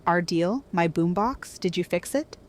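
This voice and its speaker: A jaded woman with a slightly low voice, played by an actress in an audio drama. She is blunt and confrontational, a hard bargainer with a cutting edge. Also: animated, measured pace. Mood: demanding, unimpressed.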